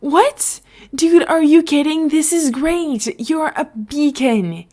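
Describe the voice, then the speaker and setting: A woman voicing an excitable, teasing young man, a supportive best friend, in exclaiming podfic dialogue. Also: sits high, takes it slowly, pitched above the performer's own voice. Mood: excited, encouraging. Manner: exclaiming, enthusiastic.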